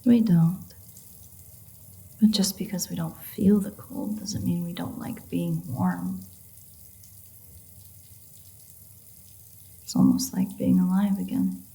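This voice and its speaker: A woman's voice: lowish voice, sing-song, unhurried, vocal fry, mellow, heavily acted. A woman playing a sardonic, free-spirited, flirtatious female vampire in an intimate audio roleplay, teasing and swearing as she goes. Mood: wry, relaxed.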